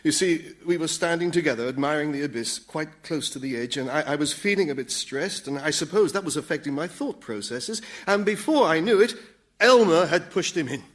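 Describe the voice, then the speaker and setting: A grovelling, swooping demon servant. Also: male voice, a little high, animated, brisk, a bit bright. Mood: grovelling, sly. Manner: grovelling, melodramatic, florid. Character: obsequious, scheming male demon servant of Satan. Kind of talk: radio sitcom acting.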